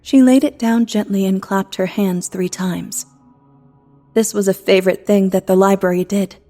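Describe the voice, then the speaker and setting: A woman narrating scripted fiction in a measured storybook manner. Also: medium pitch, steady tone, mellow. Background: music score.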